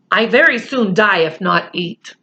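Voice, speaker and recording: Brief: a woman putting on a proud little man, slow, clipped broken phrases, muffled recording. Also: mid-range voice, pushed and bright, slight background noise, exaggerated voice. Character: tiny man, proud, blunt and hungry. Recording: slightly muffled home recording.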